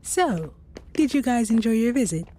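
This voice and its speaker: A woman's voice: a little high, sing-song, moderate pace, warm tone, slight background noise. A woman playing a courteous escort from a futuristic city in an audio drama, polite and reassuring. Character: courteous, soothing female escort from the city council.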